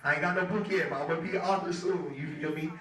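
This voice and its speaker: A young man in his twenties with a hoarse voice, giving a casual motivational talk to an audience as a guest music producer. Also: fairly high pitch, fairly even intonation, fast talker, a bit bright. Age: 20s. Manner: loose and chatty, keeps asking the crowd "you feel me". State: hoarse from talking. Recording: echoey hall, mic at a distance.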